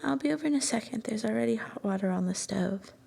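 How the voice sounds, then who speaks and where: Soft, creaky-voiced young girlfriend played by a woman. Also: fairly high pitch, expressive, quick pace, exaggerated voice. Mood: tender, caring. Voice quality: soft, breathy, lapsing into whispers. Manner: tender, coaxing, bashfully hesitant. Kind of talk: soft-spoken roleplay ASMR, intimate personal attention.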